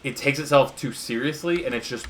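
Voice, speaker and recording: A man's voice: medium pitch, brisk, fairly bright tone, slight background noise. A man in casual chat while playing a video game, explaining at length with many fillers and restarts. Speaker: gaming co-streamer.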